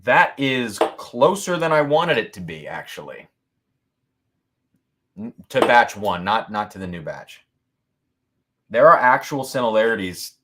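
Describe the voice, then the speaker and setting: Man, livestream host, loud voice, lowish pitch, excitable, laughs a lot, boom mic. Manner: loud, excitable, laughs often, reads chat aloud. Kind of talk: casual live-stream chat. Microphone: boom mic moved back from mouth.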